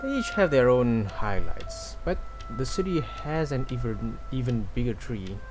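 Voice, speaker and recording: A man's voice: medium pitch, sing-song, a little slow. Laid-back male game streamer over music. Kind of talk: casual livestream commentary, reading game dialogue aloud.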